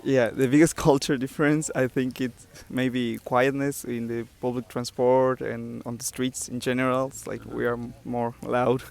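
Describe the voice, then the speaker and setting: A young man from Argentina with an Argentine accent, answering street interview questions softly and hesitantly. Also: medium pitch, level tone, normal speed, vocal fry, few pauses. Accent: Argentine Spanish. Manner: soft, laughs easily, hesitant.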